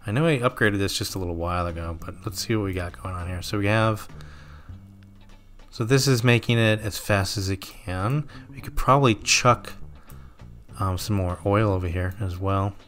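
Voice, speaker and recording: A man with a fairly low voice talks through a game session at an easy pace, musing aloud and correcting himself as he goes. His throat is slightly sore, and soft game music plays faintly beneath him. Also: moderate pace. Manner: relaxed, thinking aloud with frequent ums and self-corrections. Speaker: gameplay commentator. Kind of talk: casual let's-play commentary.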